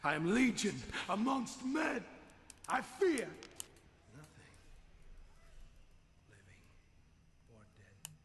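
A man delivering a theatrical, menacing wrestling promo in a slow, eerie manner, played as a clip. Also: extremely high pitch, very animated. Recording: echoey arena clip with faint noise.

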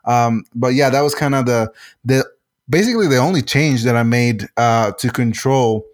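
A man from Puerto Rico whose first language is Spanish talks through his design process at a middle pitch. He stops and restarts often and leans on fillers as he thinks. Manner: halting, many restarts and fillers. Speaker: game designer guest. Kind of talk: casual interview answers.

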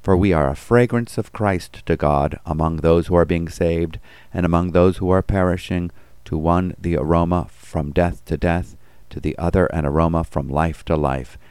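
A man's voice: deep voice, fairly even intonation, normal speed, darkish tone, clean tone, no room sound. A man reading scripted devotional commentary and scripture as a pastor.

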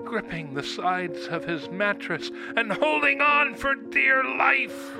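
Guy acting as an onlooker marveling at dreams, high pitched, really slow, music behind. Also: fairly even intonation, gravelly, exaggerated voice. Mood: wondering. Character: wondering onlooker describing sleepers' dreams. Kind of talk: radio drama narration.

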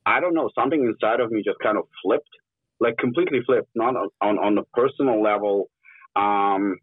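A man's voice: fairly low pitch, husky, no echo. A man in his forties from Ukraine with a mixed, Russian-tinged accent, talking candidly and bluntly as a podcast guest, swearing freely. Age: 40s. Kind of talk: casual podcast interview. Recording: tinny phone-like line.

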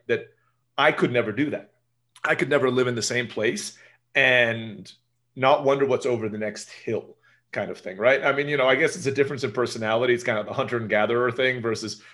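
Fast-talking American-sounding man. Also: mid-range voice, fairly bright tone. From Turkey. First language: Turkish. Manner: rambling, tag questions like "right?". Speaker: guest. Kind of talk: casual interview talk.

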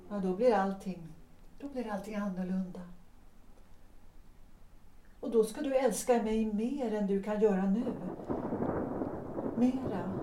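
An actress voicing Gertrud, a passionate woman pleading for love in an audio drama, hushed one moment and emphatic the next. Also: medium pitch, slight background noise, natural voice. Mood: tender. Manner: intense, pleading, shifts between hushed and emphatic. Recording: roomy echo.

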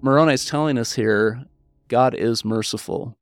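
A man's voice: mid-range voice, measured pace, soft background music. An American man speaking as an interviewed historian, explaining and telling stories in an earnest, informal, conversational way. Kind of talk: conversational explaining and personal storytelling.

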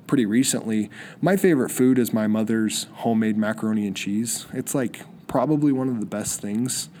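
A man's voice: medium pitch, dark tone. Young man, sniffly from a cold, rambling podcast host, eager, faint background hiss. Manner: rambling, enthusiastic, many restarts. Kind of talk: solo casual podcast monologue.

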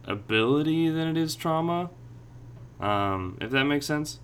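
A man's voice: on the high side, expressive, measured pace, a bit bright, a little hiss or hum. A man chatting casually on a podcast, reflective and meandering as he thinks aloud.

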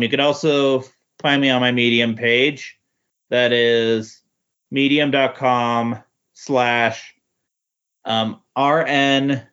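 A man chatting casually as a podcast guest, halting and rambling as he corrects himself. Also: medium pitch, fairly bright tone, smooth, muffled recording. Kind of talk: casual chat about comic books.

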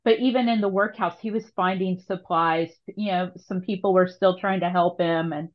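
Lowish-voiced woman hosting, slightly muffled audio. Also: fairly even intonation, normal speed. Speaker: podcast host, interviewer. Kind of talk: conversational podcast interview.

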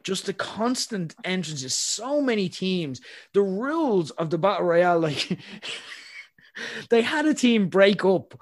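Opinionated man, slightly high voice. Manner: rambling, opinionated, frequent fillers. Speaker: podcast host. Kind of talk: casual chat.

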